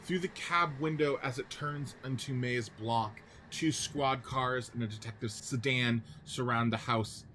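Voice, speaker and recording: A man's voice: mid-range voice, unhurried, hardly any pauses, a little hiss or hum. Man reading stage directions. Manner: steady, clipped direction reading. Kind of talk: script reading in 1940s radio play style.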